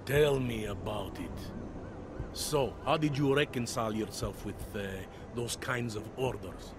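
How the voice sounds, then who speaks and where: A man's voice: mid-range voice, unhurried, noisy background, natural voice. A man playing a wry, weary veteran cop. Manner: wry, world-weary, half-joking. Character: weary veteran male city police detective. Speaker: game character. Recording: game cutscene with loud music.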